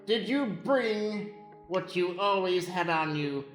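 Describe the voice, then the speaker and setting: A performer voicing a villainous witch professor in an improvised comedic radio play, with theatrical, drawn-out delivery. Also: medium pitch, lively intonation. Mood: menacing. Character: gloating villainous witch doctor and magic professor. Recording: roomy echo with music underneath.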